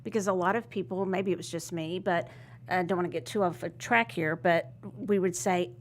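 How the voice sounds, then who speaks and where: A woman's voice: on the low side, steady tone, moderate pace, a little hiss or hum. Chatty East Texas woman host. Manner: warm, chatty, hesitant, many restarts. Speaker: podcast host. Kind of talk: casual interview chat.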